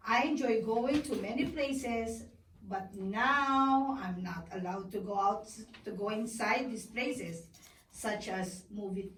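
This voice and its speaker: A woman acts out a polite female elephant puppet with a high voice and slow, earnest delivery. She sounds distant in an echoey, noisy room, like a guest on a children's puppet show. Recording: echoey, distant, with background noise.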